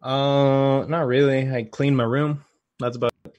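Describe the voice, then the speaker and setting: A man co-hosting a casual podcast chat, low-key and dryly joking. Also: flat delivery, a little slow, darkish tone, no echo. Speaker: podcast co-host.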